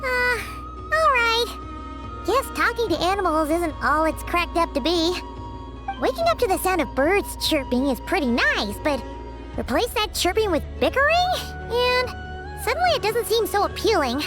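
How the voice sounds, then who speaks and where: Paimon, a squeaky excitable fairy sidekick. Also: female voice, normal speed. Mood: chatty. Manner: chirpy, refers to herself in third person. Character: tiny excitable female fairy companion. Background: game music.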